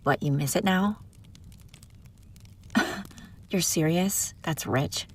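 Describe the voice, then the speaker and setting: A woman's voice: on the low side, takes it slowly, a little hiss or hum. A woman playing a haughty, bratty young runaway princess in a roleplay audio drama, sarcastic and bickering with the listener. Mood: mocking, teasing.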